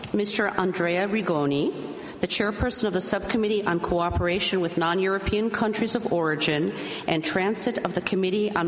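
A woman moderating a conference panel, introducing speakers in a measured, courteous way with an occasional "um". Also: on the low side. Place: large conference hall. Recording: echoey conference hall, faint hiss.

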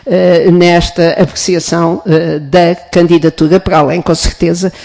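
A woman with a European Portuguese accent, speaking as a member of parliament in a formal committee hearing, with many pauses, fillers and restarts. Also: lowish voice, little pitch movement, gravelly, darkish tone.

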